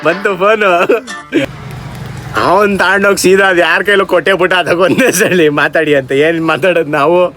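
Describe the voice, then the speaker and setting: A man's voice: high voice, steady tone, normal speed, fairly bright tone, faint music behind. A man with a Karnataka Kannada accent chatting casually as host of a prank-call vlog.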